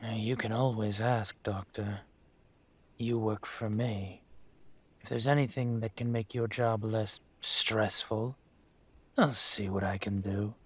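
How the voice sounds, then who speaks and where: A man playing an aging dragon mafia boss in a roleplay audio drama, speaking in a smooth, deliberate, courtly manner with veiled menace. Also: lowish voice, fairly even intonation, fairly bright tone. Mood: composed, authoritative. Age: older.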